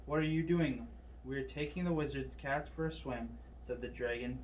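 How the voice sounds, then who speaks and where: Male storybook reader, mid pitch, distant mic, slight noise. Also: normal speed, darkish tone. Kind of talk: read-aloud children's story narration.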